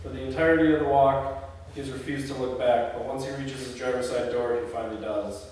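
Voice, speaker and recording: A man's voice: mid-range voice, steady tone, brisk, a little mellow, distant mic. A man heard from well away from the mic in a strongly reverberant room, with faint noise behind him. He leads a script read-through, reading stage directions aloud and talking casually through the production in a mid-range voice.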